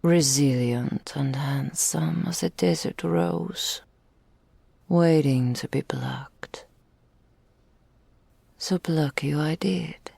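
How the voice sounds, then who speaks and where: A woman voicing a possessive, adoring dragon in human form for an intimate ASMR roleplay, with regal, old-fashioned phrasing and a breathy, often whispered delivery. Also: sits low, lively intonation, dark tone, dry recording, exaggerated voice. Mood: fond reminiscing. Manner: slow, formal archaic phrasing, regal and doting. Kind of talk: intimate ASMR roleplay, scripted character acting. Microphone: close studio mic, dry.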